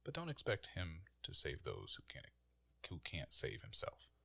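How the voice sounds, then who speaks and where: A man's voice: deep voice, wildly swooping pitch, moderate pace, gravelly, sharp tone. A man in his twenties, a player on a tabletop game podcast, speaking with some theatrical flair. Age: 20s.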